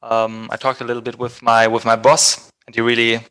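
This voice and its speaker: Man, German accent, bright crisp voice, casual interview, band member, hesitant, dry sound. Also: mid-range voice, monotone, fairly quick, dry recording, low-quality recording.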